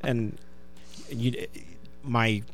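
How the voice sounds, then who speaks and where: A man's voice: mid-range voice, fairly even intonation, drawn-out words, faint music behind. A middle-aged man hosting a casual radio show, chatty, laughing often and restarting his sentences. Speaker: radio host. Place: radio studio.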